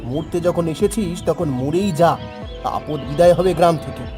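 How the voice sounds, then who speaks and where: A man's voice: on the high side, moderate pace, natural voice. A man playing an arrogant, cruel young son of a village chief in a melodramatic cartoon, with a scornful, bullying manner. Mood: contemptuous anger. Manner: scornful, bullying, shouting down at others. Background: loud music.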